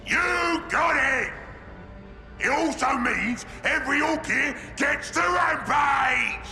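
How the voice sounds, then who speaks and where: An actor playing a brutish, cunning ork warboss with a gravelly voice and coarse slang. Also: male voice, extremely high pitch, slow, bright, forceful tone, raised voice, full character voice. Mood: boisterous. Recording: echoey game cutscene with music.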